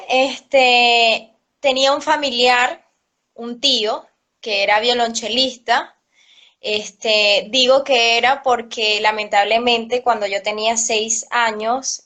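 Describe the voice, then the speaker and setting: A woman with a Venezuelan accent, a cello teacher answering interview questions in a chatty way, often laughing and filling pauses. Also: fairly high pitch, a bit bright. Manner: chatty, laughs often, many fillers like "este". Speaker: cello teacher and orchestra program director.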